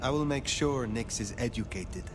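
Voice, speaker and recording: An actor playing a composed elder vampire sheriff in a video game, formal, measured and probing. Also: male voice, medium pitch, music in the background, close to an everyday voice. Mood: composed inquiry. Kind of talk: video game dialogue acting.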